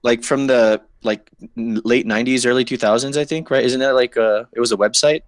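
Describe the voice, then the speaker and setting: Young male caller from Saipan, easygoing, mid-pitched, dry close sound. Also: steady tone, fairly quick, dry recording. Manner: easygoing, lots of "like" fillers. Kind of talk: casual call-in chat.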